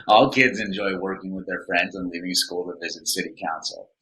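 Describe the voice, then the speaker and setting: An actor playing a stubborn, skeptical veteran civics teacher in an audio drama, arguing bluntly. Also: male voice, deep voice, fairly even intonation, a little fast, pushed and bright, poor audio. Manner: blunt, argumentative.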